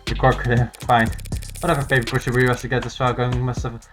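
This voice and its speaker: A man's voice: mid-range voice, level tone, fast, music in the background, close to an everyday voice. Man playing a sly, dry-witted lawyer. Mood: scheming.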